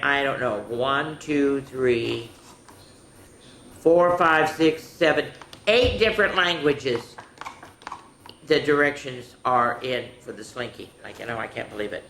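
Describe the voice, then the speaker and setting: A woman's voice: very deep voice, measured pace, a bit bright. A senior woman hosting a children's story time, chatty and instructive, often putting questions to the kids. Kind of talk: children's storytelling and activity talk. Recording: echoey room with faint noise.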